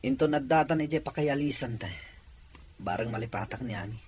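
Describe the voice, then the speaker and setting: A man's voice: mid-range voice, a little fast, a little hiss or hum, natural voice. A man playing a lovesick, wounded man in a romantic drama. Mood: heartbroken. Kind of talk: acted dialogue drama.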